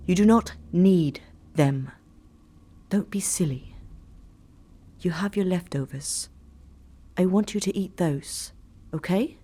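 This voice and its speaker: A woman playing a guarded, caring doctor in an audio drama, breathy and hushed, gentle but firm. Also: lowish voice, takes it slowly, long pauses. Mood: fond, firm. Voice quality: breathy, husky.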